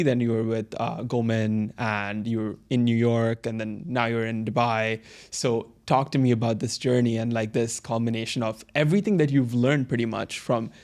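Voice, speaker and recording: A man's voice: medium pitch, a little mellow. A man hosting a podcast interview, talking casually and hesitantly, with many fillers and laughs. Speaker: podcast host.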